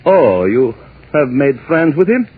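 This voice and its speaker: Husky, imperious older husband. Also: male voice, mid-range voice, big pitch swings, unhurried. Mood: restrained suspicion. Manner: formal, curt, strained. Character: tense, imperious older jealous husband, a maestro. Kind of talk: 1940s radio acting. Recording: tinny 1940s radio recording.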